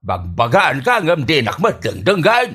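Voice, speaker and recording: A man's voice: fairly high pitch, wildly swooping pitch, unhurried, a bit bright, slightly rough, full character voice. A man playing a scolding, exasperated older father with an Ilocano Filipino accent in a comedy radio drama, grumbling and drawing out his exclamations.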